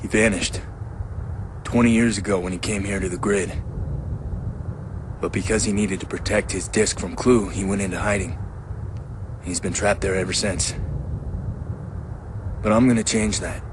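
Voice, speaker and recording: A man's voice: low-pitched, steady tone, moderate pace, long pauses, noisy background, close to an everyday voice. An actor playing a determined, impulsive young man in a video game, talking in a direct, informal way. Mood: earnest, resolute. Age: young adult. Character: determined, impulsive young man seeking his lost father. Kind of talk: cinematic video game acting. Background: faint music.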